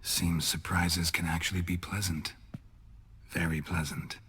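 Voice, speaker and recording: An actor with an American accent playing a gruff, laconic monster hunter in a video game, dry-witted and affectionate with his lover, in a gravelly voice. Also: flat delivery, unhurried, fairly bright tone, full character voice. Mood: warm, understated. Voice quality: gravelly, low. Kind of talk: video game cutscene acting.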